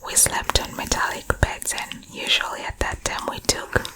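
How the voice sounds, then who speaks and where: A woman from Uganda telling personal stories in a conversational, rambling ASMR style. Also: normal speed. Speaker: storyteller recalling her own school days. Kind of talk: whispered ASMR storytime.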